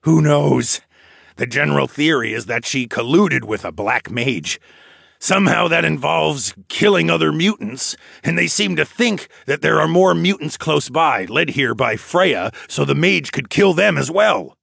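A man voicing a gruff, suspicious, irritable grandfather in audiobook dialogue, curt and huffing. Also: on the high side, expressive, a little slow, fairly bright tone, dry recording, studio quality, voice pushed up, full character voice. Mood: grim. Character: gruff older grandfather, armed, wary and irritable.

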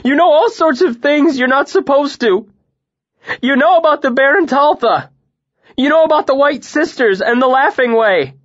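A volatile young fae servant, put on by a male audiobook narrator, who shouts in a shrill, near-hysterical voice. His pitch leaps high and swings wildly from line to line. The recording sounds slightly dull. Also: expressive, measured pace. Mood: frantic pleading.

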